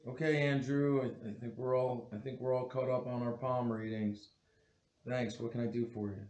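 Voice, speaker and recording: An actor at a table read playing a panicked, guilty husband with a nervous, hesitant way of talking. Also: male voice, medium pitch, fairly even intonation, normal speed, a little mellow, natural voice. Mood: nervous, evasive. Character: panicked, guilty husband turned killer, stammering and pleading. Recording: roomy echo with faint background noise.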